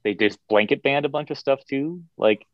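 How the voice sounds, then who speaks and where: A man's voice: medium pitch, moderate pace, dry recording, highs cut off. A man on a panel asking questions, filling with "like" a lot. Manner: lots of "like", questioning. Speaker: panel guest. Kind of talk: casual panel discussion.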